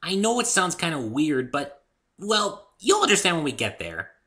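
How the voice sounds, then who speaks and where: Man putting on a high-pitched bubbly young mare. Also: sing-song, normal speed, sharp tone. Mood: bright, chirpy. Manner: cheerful, exclamatory, tactless. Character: bubbly, innocent, excitable young female cartoon pony. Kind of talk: amateur dramatic story reading.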